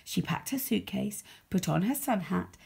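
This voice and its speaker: A woman reading a children's story aloud as a storyteller, expressive, with dramatic emphasis. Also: mid-range voice, normal speed, vocal fry, husky.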